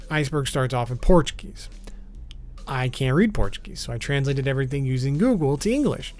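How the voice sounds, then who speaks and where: A man hosts an explainer video in a fairly high voice that moves up and down a great deal. His delivery is informal and matter-of-fact. Music plays behind his narration. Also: a little mellow. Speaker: video host. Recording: background music under voice.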